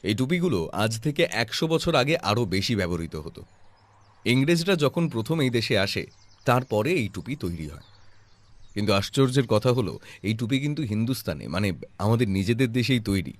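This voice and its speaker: An actor playing a calm, observant detective who explains things in a measured, self-assured way in an audio drama. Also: male voice, on the low side. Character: calm, observant male detective, patiently explaining his deductions.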